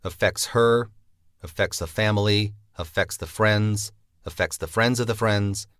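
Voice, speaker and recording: A man's voice: on the low side, a little slow, fairly bright tone, a little hiss or hum. A man who co-wrote the series, reflecting casually in an interview. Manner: reflective, thinking aloud. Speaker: series co-writer, guest.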